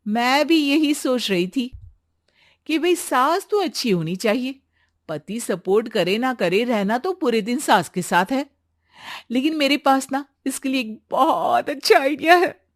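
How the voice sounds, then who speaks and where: Woman voicing an animated, outspoken young bride-to-be. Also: sits high, a little slow, no room sound, clean studio sound, voice pushed up. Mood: eager, confident. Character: Neha, outspoken young bride-to-be, chirpy, sometimes exasperated. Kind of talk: animated Hindi story voice acting.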